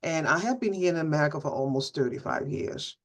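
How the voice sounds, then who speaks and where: Gentle middle-aged woman. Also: deep voice, expressive, a little fast. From Suriname. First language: Dutch. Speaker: webinar participant. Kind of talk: personal sharing on a video call. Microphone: video call.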